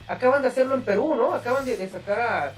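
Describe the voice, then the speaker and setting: A man's voice: very high voice, fast, distant mic, faint music behind. A man with a Mexican accent hosting a podcast in a casual, slangy, profane way. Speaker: podcast host.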